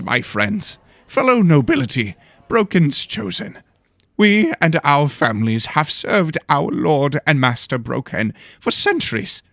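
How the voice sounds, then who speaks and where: A man's voice: on the high side, a bit bright, dry recording, studio quality, pitched above the performer's own voice, heavily acted. A man voicing a pompous governor giving a grand, declamatory speech to nobles in a fantasy audio drama. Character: pompous male governor addressing his noble guests.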